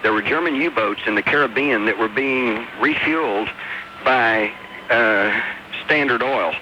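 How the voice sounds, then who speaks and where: Bright-voiced man on a noisy radio broadcast. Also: mid-range voice, a little slow, lo-fi sound. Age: middle-aged or older. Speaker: guest author on a radio call-in show. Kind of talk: conversational radio discussion.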